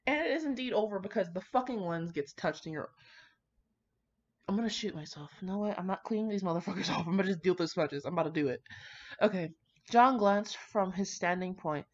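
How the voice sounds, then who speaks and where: A woman's voice: mid-range voice, animated, fairly quick. A woman reading aloud casually with chatty asides, irreverent, slangy and sweary in her manner. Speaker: host reading fan fiction aloud. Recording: dull, lo-fi recording.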